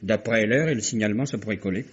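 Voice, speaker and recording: An actor playing a brisk, colloquial police subordinate from in a vintage radio mystery. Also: male voice, lowish voice, close to an everyday voice. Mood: brisk, gruff. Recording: dull, faintly noisy old radio recording.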